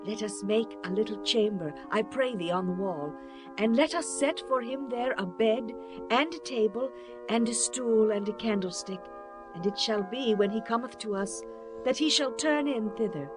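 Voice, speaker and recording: A woman's voice: on the high side, moderate pace, over music, close to an everyday voice. An actress playing an earnest, devout woman of the Bible in a dramatized scripture audiobook, speaking in a solemn, formal way. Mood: gentle, earnest. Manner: solemn, formal archaic delivery. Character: pleading, earnest biblical woman, devout widow or mother.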